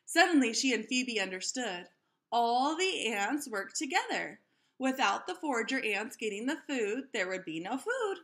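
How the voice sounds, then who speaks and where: A woman reading a children's story aloud like a teacher, animatedly, pausing to question and explain things to young listeners. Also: on the high side, big pitch swings, a bit bright.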